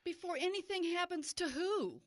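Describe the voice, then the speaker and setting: A woman's voice: very high voice, moderate pace, no echo. A woman playing an anxious, indignant mother of a missing child in an audio drama, distressed and demanding. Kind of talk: modern audio drama acting.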